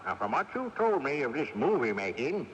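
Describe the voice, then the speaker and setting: A man's voice: mid-range voice, very animated, a little fast, dull audio, natural voice. A male actor plays a crotchety old ghost from a radio mystery, sly and stubborn. His delivery swoops theatrically, and it rings in long reverb under faint tape noise. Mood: scheming. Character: cantankerous, sly old ghost of a great-grandfather. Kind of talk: radio mystery drama acting. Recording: off-air 1980s radio tape, reverberant, hissy.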